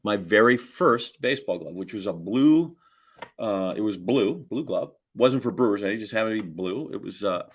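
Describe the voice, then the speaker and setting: A man's voice: fairly low pitch, lively intonation, normal speed, slightly rough. A middle-aged man. He co-hosts a podcast in a casual way. His talk wanders through folksy stories with many asides. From Wisconsin, United States. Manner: rambling, folksy storytelling. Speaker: podcast co-host. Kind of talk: casual chat with rambling anecdotes.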